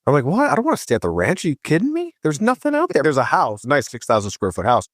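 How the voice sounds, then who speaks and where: A man from Hawaii, a podcast guest, telling his story in a chatty, casual way and voicing himself with lively quotes. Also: fairly high pitch, fast talker, dry recording, clean studio sound. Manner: chatty, animated voice when quoting himself.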